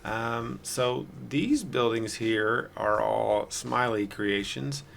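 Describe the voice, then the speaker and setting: A man with a raspy, hoarse voice giving rambling, self-deprecating casual commentary as a gameplay video host. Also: mid-range voice, takes it slowly, a bit bright. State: hoarse from singing the night before.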